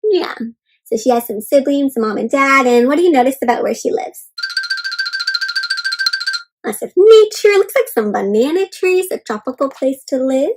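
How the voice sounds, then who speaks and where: High-pitched woman reading to young children. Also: moderate pace, pauses often, soft background music. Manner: addresses young listeners with questions and commentary; expressive, encouraging teacher-like delivery. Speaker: children's read-aloud host. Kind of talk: interactive storybook read-aloud for young children.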